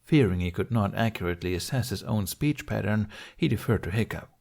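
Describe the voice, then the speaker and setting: A man narrates fiction for an audiobook. His voice is fairly low with a noticeable creak. He reads scripted prose as a storyteller. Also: animated, a little fast, darkish tone.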